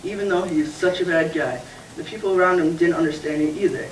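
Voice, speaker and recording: A man's voice: on the high side, fast, a little hiss or hum. A man voicing the puppet Carl, patiently explaining a Bible story to a younger friend in a church puppet show. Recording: echoey room, distant mic.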